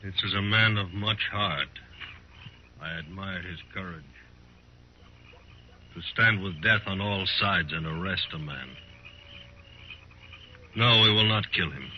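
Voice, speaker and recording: An actor playing a dignified, commanding Cheyenne leader in a 1950s radio western, with solemn, formal, deliberate phrasing. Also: male voice, low voice. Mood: solemn, firm. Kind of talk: 1950s radio drama acting. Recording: muffled old radio recording, faint noise.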